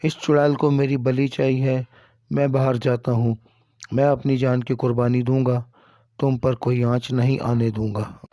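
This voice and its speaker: A man's voice: medium pitch, little pitch movement, unhurried, dark tone, dry recording, studio quality, lightly acted. A man voicing a troubled, frightened husband in a horror cartoon. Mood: remorseful, resolved. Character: troubled, frightened husband, self-sacrificing friend.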